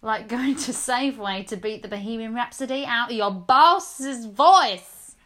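A woman's voice: on the high side, a little slow, fairly bright tone, voice pushed down. A woman playing a brash, cheeky young adventurer in a comic audio drama, given to exclamations. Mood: defiant.

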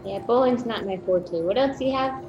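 A woman's voice: fairly high pitch, normal speed, muffled recording. A woman playing a tabletop game, haggling in a breezy way. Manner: breezy, bargaining. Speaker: player.

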